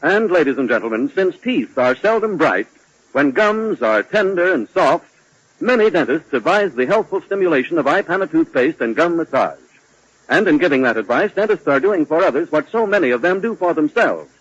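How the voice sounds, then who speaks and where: A man delivers a formal, emphatic 1940s radio advertisement. His voice is fairly high with a husky edge. The recording is dry and dull, like old radio. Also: sing-song, normal speed, dry recording. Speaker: commercial announcer.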